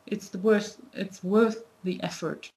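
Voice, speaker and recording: A woman's voice: medium pitch, steady tone, unhurried, a little mellow, slight background noise. A young woman in her twenties giving a casual language lesson to a webcam in a small room, talking in a chatty, thinking-aloud way with many fillers. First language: not Nepali. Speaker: language teacher. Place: small indoor room, plain wall. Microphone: webcam at desk distance.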